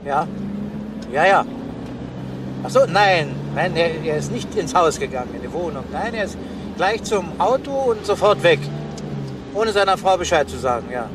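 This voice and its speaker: An actor playing a busy police detective, clipped and businesslike, in a crime radio play. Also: male voice, animated, normal speed, pauses often, close to an everyday voice. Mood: brisk, alert. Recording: noisy old recording, vehicle rumble behind.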